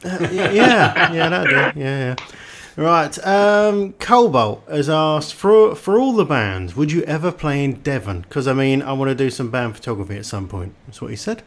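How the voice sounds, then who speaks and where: A man's voice: fairly high pitch, animated, moderate pace, few pauses, a bit bright, slight background noise. A man casually hosting a chat interview, reading out questions from listeners and bantering with guests.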